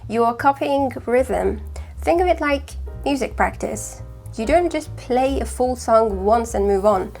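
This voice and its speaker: A woman whose first language is Farsi, speaking in a British accent with a slight northern English touch, giving calm, measured, scripted explanations as a language-learning host. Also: on the high side, vocal fry, faint music behind. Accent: British with slight northern English influence. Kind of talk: scripted talk to camera.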